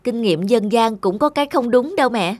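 A woman's voice: a little high, animated, moderate pace, a little mellow, no echo. A woman playing an anxious, exasperated young new mother in a cartoon, plaintive and scolding. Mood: gently chiding. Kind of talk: cartoon dialogue.

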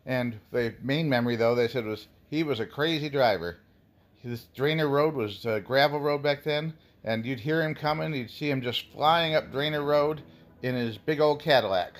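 A man's voice: mid-range voice, normal speed. An American man giving informal documentary narration in a folksy, reminiscing way. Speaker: local history video narrator.

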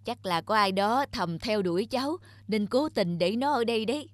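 A woman's voice: medium pitch, lively intonation, moderate pace, no echo, natural voice. A woman voicing a nosy, kindly middle-aged neighbor in a cartoon, chatty and familiar. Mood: curious, teasing. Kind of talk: cartoon voice acting.

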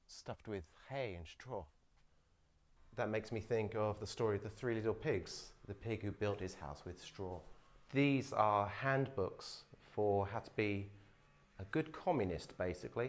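Low-voiced man exploring an abandoned building. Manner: curious, explanatory, talking while walking. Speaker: urban explorer vlogger. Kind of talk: casual walking tour commentary. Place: abandoned dormitory building, indoors. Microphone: phone camera held at arm's length.

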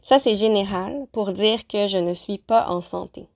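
A woman teacher narrating a lesson, pronouncing example phrases slowly and carefully. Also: medium pitch. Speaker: French-as-a-second-language teacher. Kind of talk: clear, deliberate vocabulary lesson narration.

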